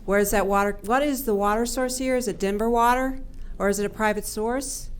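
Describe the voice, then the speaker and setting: A woman's voice: a little high, steady tone, brisk, clear, resonant tone. A woman asking direct, probing questions as an audience member at a public meeting.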